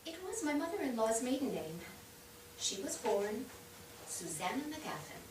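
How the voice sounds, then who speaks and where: Woman acting old widowed matriarch, proud, gracious, formal stage speech, highish voice, roomy distant sound. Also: very animated, a little slow. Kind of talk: staged play reading. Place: theatre stage, recorded from afar. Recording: distant noisy microphone in echoey theatre.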